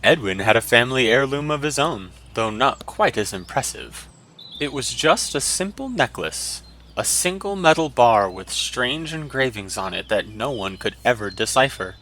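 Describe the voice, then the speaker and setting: A man's voice: medium pitch, expressive, normal speed, pushed and bright, slight background noise. A man narrating a fantasy story in a dramatic, scripted audiobook style.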